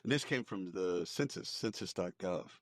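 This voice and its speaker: Low-voiced American man, casual podcast talk. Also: expressive, normal speed, no room sound. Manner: informal, repeats phrases for emphasis. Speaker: podcast co-host. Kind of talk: casual opinionated news discussion.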